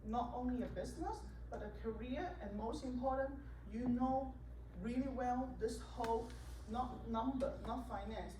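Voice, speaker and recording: A woman's voice: on the high side, normal speed, warm tone. A woman realtor speaking emphatically on a panel, with non-native grammar and a preachy tone. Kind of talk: panel talk, persuasive. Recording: echoey room, distant mic, background noise.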